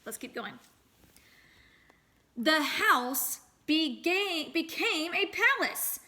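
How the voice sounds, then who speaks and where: A woman reading a storybook aloud as a teacher, expressive and pausing to address young listeners. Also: high voice. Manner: expressive, acts out sound words.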